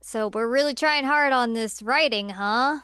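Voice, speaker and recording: A woman's voice: fairly high pitch, measured pace, pushed and bright, clean tone, no echo, clean studio sound. A woman co-hosting a podcast in casual film-review chat, talking conversationally and peppering sentences with "like". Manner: conversational, filler-heavy with frequent "like". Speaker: podcast co-host.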